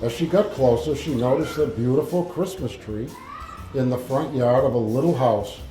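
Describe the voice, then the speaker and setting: A man reading a story aloud to children in a halting, informal manner. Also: mid-range voice, mellow. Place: indoor library room with audience. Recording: echoey room, faint music.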